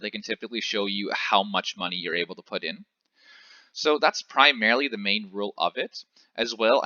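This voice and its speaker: A young man from Canada talks through money basics in a loose, conversational way, often pausing on fillers and correcting himself. His voice sits on the low side yet sounds very bright and forward. The recording is dry with a slightly dull, lo-fi sound. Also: dry recording. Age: 20s. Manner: chatty, lots of filler "uh" and self-corrections. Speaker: finance educator. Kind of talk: casual explainer tutorial.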